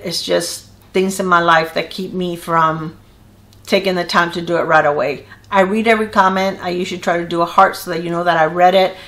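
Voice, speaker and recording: A woman's voice: on the low side, a bit bright. An older woman with a Cuban accent talking straight to the camera in a casual, earnest way, rambling a little and restarting her sentences as she chats to her viewers. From Cuba. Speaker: beauty channel creator. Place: small indoor room with a wall of decorative tiles.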